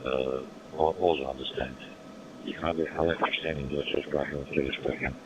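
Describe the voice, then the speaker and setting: Man, German native, very low voice, halting English, muffled noisy radio audio. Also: steady tone. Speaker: radio amateur. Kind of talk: ham radio contact.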